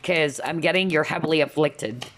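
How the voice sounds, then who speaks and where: A woman's voice: deep voice, normal speed, a little hiss or hum. A woman giving a casual tarot reading to viewers, chatty and full of asides, her voice slightly nasal as she loses it. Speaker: tarot reader.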